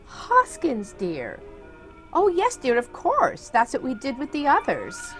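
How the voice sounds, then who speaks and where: Actress as kindly, scatty old lady for radio farce; slightly high, animated voice, genteel and matter-of-fact. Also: takes it slowly, faint music behind, exaggerated voice. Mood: placid. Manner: genteel, doting. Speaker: actor. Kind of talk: theatrical radio comedy acting.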